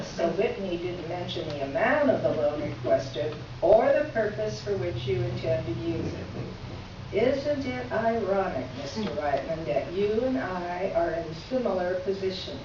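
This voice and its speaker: A woman playing a polite but pointed newspaper columnist, reading a formal letter aloud in a measured, drily ironic way for readers theater. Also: on the low side, animated. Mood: dry, pointed. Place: live reading in a reverberant room. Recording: echoey room from far off, dull, noisy.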